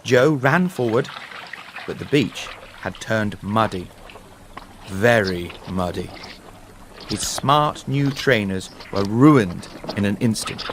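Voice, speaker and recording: A man reading a children's story in a measured, deliberate, dramatic way. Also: fairly low pitch, lively intonation, noisy background. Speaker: story narrator. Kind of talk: expressive scripted storybook narration for learners.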